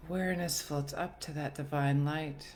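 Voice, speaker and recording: A woman leading a guided meditation in a soothing, gentle, unhurried manner with long pauses. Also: low-pitched, steady tone. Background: soft background music.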